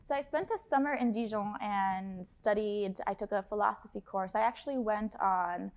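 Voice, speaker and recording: A woman's voice: mid-range voice, normal speed. A woman from Lebanon in her thirties, a study abroad advisor chatting in an interview in an upbeat, enthusiastic way. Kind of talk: casual interview talk.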